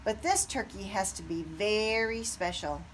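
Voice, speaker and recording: A woman's voice: mid-range voice, unhurried, a little hiss or hum, natural voice. A woman voicing a plain-spoken, folksy male farmer in a children's storybook. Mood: musing.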